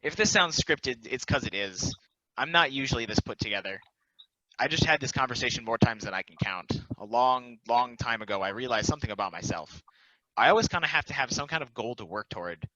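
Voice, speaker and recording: A philosophical, self-deprecating immortal ballplayer acted by a man in a casual play reading. The voice is mid-pitched and bright, with a rambling, confiding delivery. The recording is dry and slightly dull. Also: animated, brisk. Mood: confiding, reflective. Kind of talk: casual table read of a stage play.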